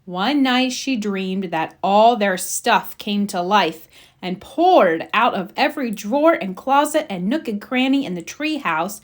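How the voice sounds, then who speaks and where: A woman reading a children's storybook aloud, with expressive, emphatic narration for young listeners. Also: mid-range voice, measured pace. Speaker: storybook reader.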